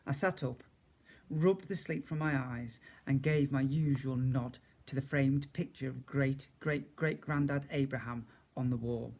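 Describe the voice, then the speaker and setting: A man reading a children's story aloud as a teacher would, expressively, with chatty asides to his young listeners. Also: mid-range voice.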